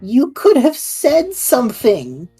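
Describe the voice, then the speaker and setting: Woman as worried sidekick, mellow kinda husky, incredulous and wry, audio drama. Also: high-pitched, huge pitch swings, slow, faint music behind, exaggerated voice. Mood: puzzled. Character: bemused, anxious best-friend adventurer, wryly questioning.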